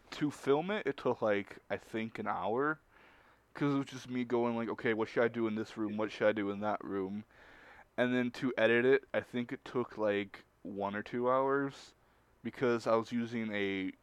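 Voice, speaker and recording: A man's voice: mid-range voice, smooth, dry recording. A man chatting casually and slangily on a livestream in a rambling way. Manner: slangy, rambling, chuckles often. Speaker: web video creator.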